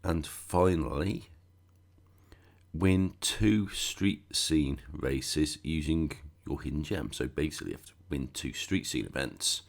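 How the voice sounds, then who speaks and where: Croaky guy with a cold, really deep voice, rambling through a game walkthrough. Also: takes it slowly. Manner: chatty, rambling, sarcastic asides. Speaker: gaming channel host. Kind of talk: casual game walkthrough commentary.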